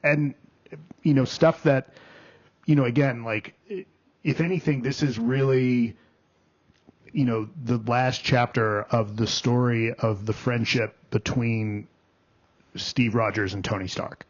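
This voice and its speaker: A man film critic in a casual review chat, talking haltingly with restarts, then pushing hard on his points. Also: medium pitch, steady tone. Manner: halting, many restarts and fillers, then bursts of emphasis. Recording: dull lo-fi audio.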